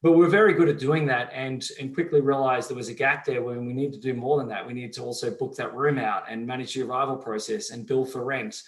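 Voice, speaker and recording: A middle-aged man with a softened Australian accent, answering interview questions in a measured, explanatory way with frequent fillers. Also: medium pitch, fairly even intonation. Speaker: company CEO, guest. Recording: roomy echo.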